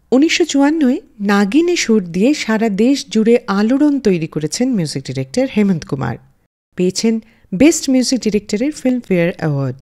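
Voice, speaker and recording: A woman hosts a radio show, narrating scripted anecdotes in a warm, mellow voice. Her delivery is animated, with a wide, lively pitch line. Soft music plays faintly behind her. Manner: expressive storyteller, lively rise and fall. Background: faint background music.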